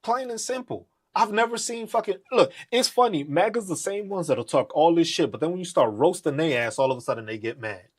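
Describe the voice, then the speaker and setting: A podcast host rants in a profane, confrontational way, mocking the people he argues with. His voice is a little husky and sits fairly high for a man. His pitch swings widely from line to line. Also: animated, brisk, few pauses. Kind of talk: live political rant.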